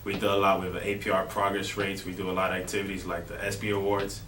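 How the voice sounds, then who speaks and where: A young man from Miami, a college baseball player, answering interview questions in a relaxed way with lots of "you know". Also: low voice, quick pace. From Miami, Florida. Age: young adult. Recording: roomy, distant mic with faint noise.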